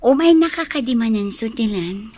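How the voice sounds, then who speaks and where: A woman with an Ilocano accent playing the confident lady of a big house in a radio drama, with a slightly husky voice. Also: fairly high pitch, animated, normal speed, darkish tone, dry recording. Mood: calm, assured.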